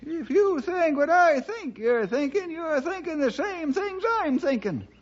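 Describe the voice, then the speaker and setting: An actor playing an excitable, folksy old cowboy sidekick with a high, cracking voice in a radio western. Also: male voice, measured pace, heavily acted. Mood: eager. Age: older. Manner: folksy, exclaiming, rustic drawl. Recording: dull vintage radio recording.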